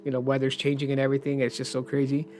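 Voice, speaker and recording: A man explaining phone unlock rules in a casual, rambling vlog, hoarse as he says he is losing his voice. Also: medium pitch, completely flat delivery, very fast, darkish tone. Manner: chatty, rambling, opinionated. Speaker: tech vlogger.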